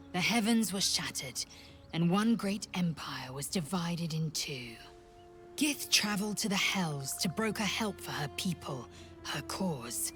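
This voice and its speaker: A fierce alien warrior woman, pitched low and swinging widely in intonation. She speaks formally and haughtily, every phrase edged with scorn, in dramatic fantasy game style. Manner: haughty, formal, clipped menace. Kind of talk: dramatic video game acting.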